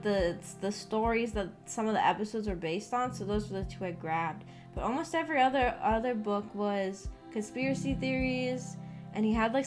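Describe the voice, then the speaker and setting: A young adult woman chatting casually on a live stream, rambling and hesitant, trailing off mid-thought. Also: mid-range voice. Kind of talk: casual rambling livestream chat. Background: background music with guitar.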